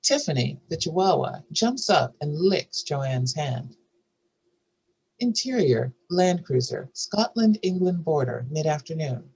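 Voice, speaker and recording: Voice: medium pitch, sing-song, a little slow, creaky, darkish tone, breathy edge, low-quality recording. A narrator reading out scene headings and stage directions at a screenplay table read.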